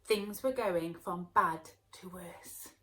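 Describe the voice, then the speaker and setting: A woman teacher talking to young children and reading them a story aloud in an expressive way. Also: lowish voice, slow. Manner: expressive, addressing small children. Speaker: early years teacher.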